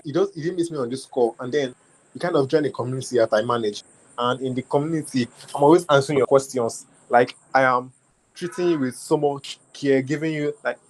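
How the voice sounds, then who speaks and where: A man's voice: mid-range voice, a little mellow, low-quality recording. A young man from Nigeria with a Nigerian accent, talking loosely on a group call as a community lead. He keeps restarting his phrases and fills them with "like". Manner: repetitive, restarts phrases, many fillers like "like". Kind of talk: casual talk on a call.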